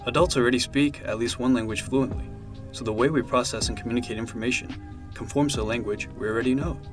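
Man, mid pitch, steady scripted explainer read, soft music behind. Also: quick pace.

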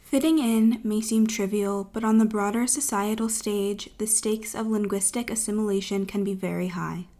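Mid-pitched woman narrating an explainer. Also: steady tone, normal speed, few pauses, dark tone.

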